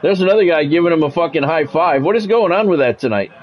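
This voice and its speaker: A man's voice: on the high side, lively intonation, fast, lo-fi sound. A man streaming a football video game, mixing sports play-by-play with casual, salty chat to viewers and swearing freely.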